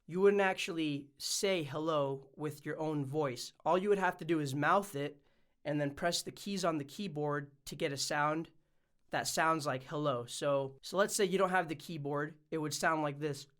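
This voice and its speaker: A man's voice: a little high, normal speed, a bit bright. A man presenting a casual explainer vlog as a music production YouTuber.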